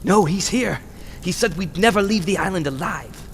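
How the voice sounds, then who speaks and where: A man's voice: high-pitched, expressive, normal speed. A man playing a nervous, resentful henchman in an old-time radio drama, agitated and melodramatic. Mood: nervous, startled. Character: Ward, nervous, resentful, desperate male henchman. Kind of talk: Golden Age radio drama acting. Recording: noisy radio drama recording.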